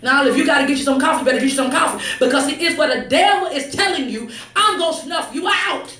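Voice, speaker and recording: A woman preaches in a high voice, picked up from a distance in an echoey room. Her delivery is exhortatory and emphatic, moving between pointed conversational asides and forceful, raised declarations. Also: fast. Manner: exhortatory, emphatic, swings from hushed asides to shouts. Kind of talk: fervent sermon with scripture reading and conversational asides. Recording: echoey room, distant mic, faint noise.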